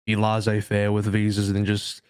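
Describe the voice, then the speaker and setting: Quick, low-voiced man chiming in. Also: completely flat delivery, a little mellow, clear, resonant tone. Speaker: esports podcast panelist. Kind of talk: casual chat, brief interjections.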